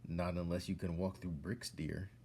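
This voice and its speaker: A man voicing a brisk, dismissive mother in a storybook read-aloud, offhand and matter-of-fact. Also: low-pitched, level tone, darkish tone, slight background noise.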